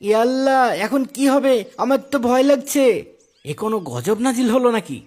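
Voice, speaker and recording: A man's voice: very high voice, big pitch swings, normal speed, darkish tone. A man playing an anxious, pious village man in a moral cartoon story, given to worried exclamations. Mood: alarmed.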